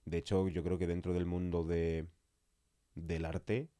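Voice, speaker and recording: A man's voice: low-pitched, level tone, a little slow, mellow, dry recording, clean studio sound. A man with an accent from Spain talking casually and emphatically in a podcast conversation, repeating phrases for stress. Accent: Spanish (Spain). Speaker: podcast interviewer.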